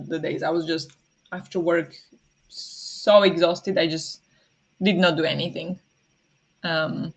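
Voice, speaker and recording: A woman hosting a casual morning livestream from home, chatting, apologizing and greeting viewers, with many fillers, soft laughs and a voice that sometimes turns raspy. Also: lowish voice, lo-fi sound. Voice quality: sometimes raspy, habitually clears throat. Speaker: livestream host.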